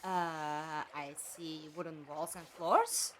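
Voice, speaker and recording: A woman's voice: low voice, fairly even intonation, takes it slowly, slight background noise. A woman acting in an audio drama as a blunt, slightly sardonic companion who asks direct questions. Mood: questioning, wry.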